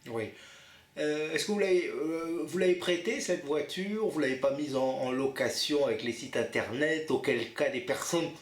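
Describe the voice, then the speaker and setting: A man's voice: a little high, normal speed. A man making an improvised prank phone call as a buyer, insistent and bossy, often cutting in. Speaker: prank caller posing as a car buyer. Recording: roomy echo, faint noise.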